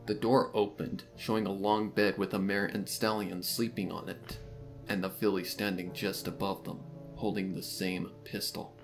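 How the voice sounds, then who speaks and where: A man reading scripted third-person fan fiction as the narrator of a dark mystery story. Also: low voice, little pitch movement, moderate pace, soft background music.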